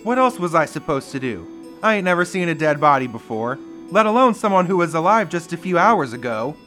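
An actor playing a nervous, defensive cook at a lodge in an old-time radio murder mystery, anxious and protesting. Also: male voice, fairly high pitch, sing-song, fairly quick, sharp tone, faint music behind.

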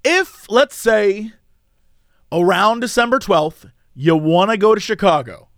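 A man co-hosting a casual comedic podcast, rambling along in a playful, animated way. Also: high-pitched, a little slow, dry recording, studio quality. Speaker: podcast co-host.

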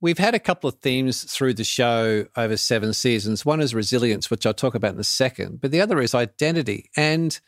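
A man's voice: medium pitch, no echo, studio quality. A man hosting a podcast, asking curious, thoughtful questions in a conversational way. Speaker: podcast host. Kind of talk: conversational interview.

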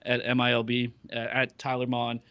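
A man's voice: medium pitch, completely flat delivery, fairly quick, a bit bright, smooth, dry recording, dull audio. Man rambling through a podcast segment. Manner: rambling, with many fillers and self-corrections. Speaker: podcast regular covering ballpark business news. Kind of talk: casual podcast chat.

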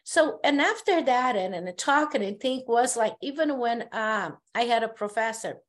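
A woman from Brazil with a Brazilian Portuguese accent, a therapist speaking as a podcast guest, telling her story earnestly with halting restarts. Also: on the high side, lively intonation. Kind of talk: casual conversation.

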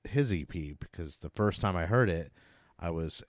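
A man with a low-pitched voice hosts a music podcast in a loose, informal style. He is middle-aged and rambles with enthusiasm, swearing now and then. The sound is dry, with little room echo. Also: expressive, moderate pace. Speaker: podcast host. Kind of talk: casual rambling music chat.

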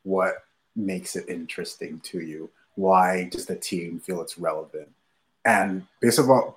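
A man, a startup founder answering interview questions in a measured way with many fillers. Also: low voice. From Nigeria.

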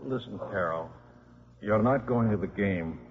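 An actor playing a wisecracking, scheming football coach and father, brisk and slangy, in a 1950s radio drama. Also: fairly low pitch, noisy background. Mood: firm, resigned. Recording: muffled vintage 1950s radio broadcast.

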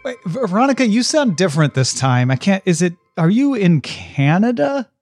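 A man's voice: high-pitched, very animated, normal speed, a little mellow, faint music behind. A man co-hosting a podcast in casual, playful, bantering chat. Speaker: podcast co-host.

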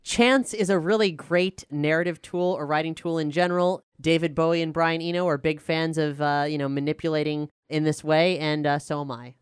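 Smooth clear voice, dry close sound, casual podcast talk, emphatic explainer, showrunner. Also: medium pitch, fairly even intonation, a little fast, hardly any pauses, no echo. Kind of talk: casual behind-the-scenes discussion.

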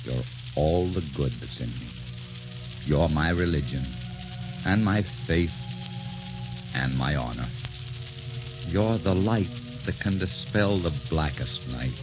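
Deep-voiced tormented romantic poet. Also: male voice, animated, slow pace, long pauses, exaggerated voice. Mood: adoring, haunted. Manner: murmuring, impassioned, melodramatic. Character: tormented, adoring poet husband, haunted and desperate. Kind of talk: 1940s radio romance acting. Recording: muffled 1940s radio broadcast, music behind.

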